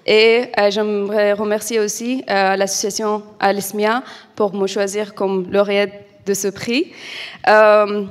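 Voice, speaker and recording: Young woman from Afghanistan, halting speech. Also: mid-range voice, level tone. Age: young adult. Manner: careful, halting, many fillers. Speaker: award winner, football coach. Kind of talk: acceptance speech. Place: event studio stage. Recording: reverberant hall.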